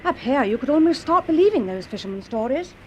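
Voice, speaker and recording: High-voiced, curious young student. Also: female voice, sing-song, fast talker, darkish tone, a little hiss or hum. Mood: awed, uneasy. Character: young female student, curious, imaginative, gently teasing. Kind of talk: radio drama acting.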